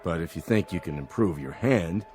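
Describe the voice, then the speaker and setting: A low-voiced, shrewd gambler in an old TV drama. His delivery is casual and clipped but moves freely up and down, with music clearly behind him. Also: expressive, a little fast, darkish tone. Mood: easy, smooth. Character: cool, shrewd card sharp with a hidden soft streak. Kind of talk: vintage television drama acting. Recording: old TV soundtrack with music underneath.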